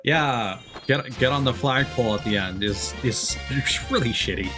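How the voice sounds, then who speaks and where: A man's voice: mid-range voice, normal speed. A man livestreaming a game with casual commentary, musing aloud in a laid-back way. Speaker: livestream host. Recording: game music behind the voice. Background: video game music.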